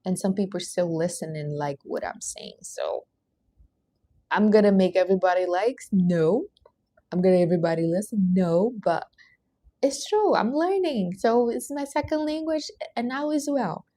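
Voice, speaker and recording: A woman's voice: medium pitch, normal speed, dark tone, no room sound, studio quality. A woman with a Portuguese accent, Portuguese her first language, giving an earnest, emphatic motivational talk while hosting a livestream. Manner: earnest, emphatic, non-native grammar. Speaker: livestream host.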